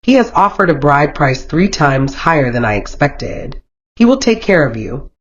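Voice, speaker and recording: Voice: medium pitch, very animated, normal speed, a little hiss or hum, lightly acted. A narrator plays a proud, wealthy chief and father in a folktale. He is unyielding and curt, giving commands. The recording is dull. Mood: cold command. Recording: dull, lo-fi sound.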